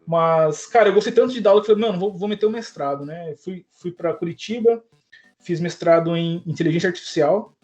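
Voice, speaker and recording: A man's voice: high voice, brisk, a little mellow. A man with a Brazilian Portuguese accent co-hosting a podcast, chatting casually with lots of slang and fillers. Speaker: podcast co-host.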